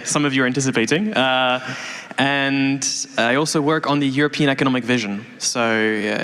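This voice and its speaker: A man from France introduces himself on a panel, relaxed and casual. His voice is bright and sounds through the long reverb of a hall. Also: mid-range voice, smooth. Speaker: board member on a panel.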